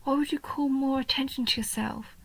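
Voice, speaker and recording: A woman voicing a shy, sweet, nerdy goblin girl in a soft roleplay audio, hesitant and gentle, often dropping into breathy half-whispers. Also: sits high, steady tone, a little fast. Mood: flustered, touched. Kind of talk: roleplay audio, soft intimate story acting.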